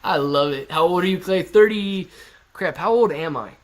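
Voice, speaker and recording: Chatty man in his thirties, higher voice. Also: moderate pace. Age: 30s. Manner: laid-back and chatty, laughs often, reads chat aloud. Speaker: stock livestream host. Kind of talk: casual live-stream chat reading viewer comments. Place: sitting in his living room. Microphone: laptop on a kitchen stool at eye level.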